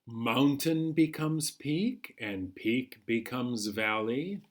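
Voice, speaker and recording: A man hosting a children's storytime, reading a picture book aloud in a measured, singsong way. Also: medium pitch. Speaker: children's storytime host at a library.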